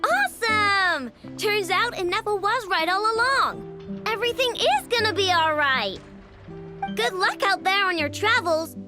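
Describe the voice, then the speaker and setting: A woman's voice: extremely high pitch, animated, unhurried, music in the background. A woman voicing a cheerful young girl tinkerer in a video game, breezy, informal and encouraging. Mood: breezy, friendly.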